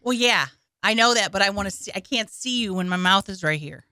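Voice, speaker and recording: A woman's voice: mid-range voice, a little fast, sharp tone, no echo, studio quality. A woman comic chatting as a guest, giggly and playful. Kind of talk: casual chat.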